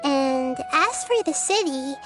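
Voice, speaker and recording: A small, wise goddess from a video game, with a very high girlish voice. She speaks gently and articulately, in a measured, caring manner. Light music sits behind her. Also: lively intonation. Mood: reassuring. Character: gentle, wise, childlike little goddess of nature.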